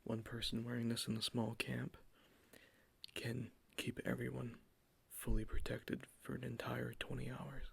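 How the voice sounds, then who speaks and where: A man in an ASMR roleplay, giving a soft-spoken, enthusiastic sales pitch as a cologne salesman close to the microphone. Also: completely flat delivery, a little slow, gravelly, husky.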